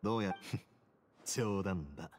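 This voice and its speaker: A man's voice: sits low, slow, a little mellow, a little hiss or hum. A man voicing a cool, wry young duke who runs a prison in an anime game, nonchalant and dryly teasing. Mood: composed, teasing. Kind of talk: Japanese anime game voice acting.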